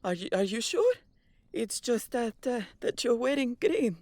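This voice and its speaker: A woman's voice: a little high, very animated, darkish tone, no echo. Woman playing a jittery superstitious woman. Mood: wary. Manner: nervous, halting, broken English with Spanish words. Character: frightened superstitious woman, café worker. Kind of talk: audio drama table read.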